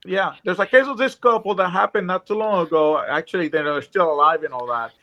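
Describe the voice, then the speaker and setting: A man's voice: high voice, brisk, pushed and bright, dull audio. A man from Puerto Rico with a Puerto Rican accent, a guest talking earnestly over a video call and often restarting his sentences. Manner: earnest, rambling, frequent restarts. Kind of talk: casual chat.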